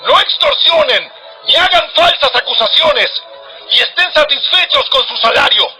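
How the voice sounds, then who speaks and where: Fierce high-pitched shouting desert prophet. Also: male voice, lively intonation, normal speed, faint music behind, full character voice, poor audio. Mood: stern, urgent. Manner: declamatory, thundering, admonishing. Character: fierce male desert prophet, stern and urgent. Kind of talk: dramatized audio Bible acting.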